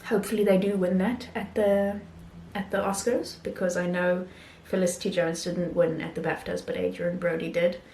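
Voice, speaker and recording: A woman talking casually about films she has watched, pausing often with ums and restarting her sentences. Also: on the low side, little pitch movement, gravelly, darkish tone, roomy sound, a little hiss or hum. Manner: hesitant, many ums and restarts. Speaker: film vlogger. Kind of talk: casual film review talk.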